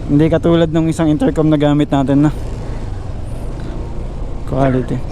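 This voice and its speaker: A man's voice: fairly high pitch, steady tone, moderate pace, background noise. A Filipino man chatting casually to viewers as a motovlogger, mixing in English. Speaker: motovlogger host. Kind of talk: casual vlog chat to viewers with English mixed in.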